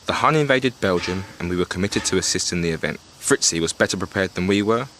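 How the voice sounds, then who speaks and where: An actor playing a blustering, indignant British army captain of the First World War in a period audio drama, with a clipped English accent. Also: male voice, low voice, expressive, fast talker, fairly bright tone, a little hiss or hum. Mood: defensive, forceful.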